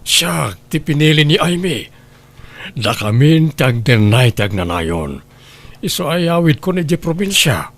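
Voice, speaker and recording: A man playing an earnest lover and confidant in an Ilocano radio drama, with a husky voice. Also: on the high side, very animated, measured pace, exaggerated voice. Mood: pleased, eager.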